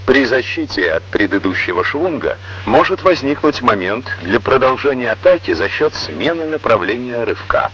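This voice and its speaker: A man reading scripted instructional narration in a formal, measured, didactic way, on an old Soviet educational film soundtrack. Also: medium pitch, very animated, a bit bright, lo-fi sound, slight background noise.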